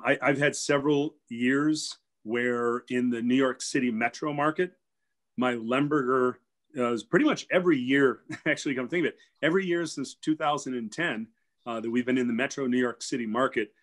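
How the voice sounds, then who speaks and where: A man in his forties, a winery sales director, talking casually and explaining things with fillers over a video call from a room at home. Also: mid-range voice. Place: home room with shelves, indoors. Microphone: video call webcam.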